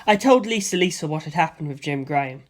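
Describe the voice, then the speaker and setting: A woman narrating a story in a steady, expressive audiobook reading. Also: low voice, fast. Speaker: reader.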